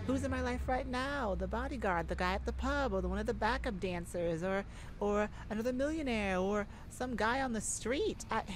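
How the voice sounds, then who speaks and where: A woman acting an exhausted, anxious pop star confiding haltingly in a bodyguard lover, in an intimate ASMR roleplay. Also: medium pitch, background noise. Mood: anxious, bitter. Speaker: audio roleplay performer.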